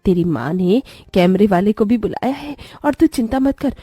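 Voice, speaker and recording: A woman acting a husky, scolding middle-aged mother. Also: medium pitch, animated, moderate pace, mellow, dry recording, studio quality. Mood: brisk, bossy. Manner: scolding, taunting, dramatic. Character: sharp-tongued, scornful middle-aged mother, favours her own daughter. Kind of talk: Hindi cartoon story voice acting.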